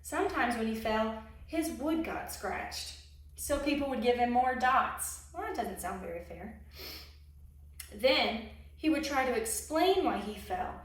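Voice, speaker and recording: A woman reading a children's story aloud expressively, pausing to put questions to young listeners. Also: fairly high pitch, expressive. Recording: very echoey room, distant microphone.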